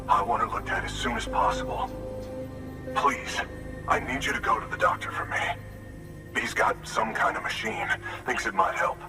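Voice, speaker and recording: An actor playing a worried expectant father, halting and pleading in a dramatic game scene. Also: on the low side. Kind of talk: dramatic game cutscene acting. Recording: distant, echoing, music underneath.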